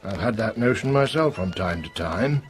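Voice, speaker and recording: An actor playing a grave, probing police inspector in a radio drama, speaking bluntly and deliberately. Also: male voice, on the low side, animated, natural voice. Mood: solemn, pointed. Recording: music under the voice.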